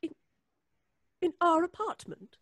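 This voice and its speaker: A prim, high-strung woman in an old-time radio thriller. Her voice is high and recorded dry. She speaks emphatically, distressed and indignant, in exclamatory period style. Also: big pitch swings, slow, fairly bright tone, no echo, heavily acted. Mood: indignant, sceptical.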